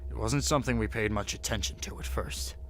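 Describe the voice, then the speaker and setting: A man's voice: on the low side, brisk, a little hiss or hum, close to an everyday voice. A man playing a weary, serious heist crew member in an audio drama, speaking in a dry, understated way. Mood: brooding.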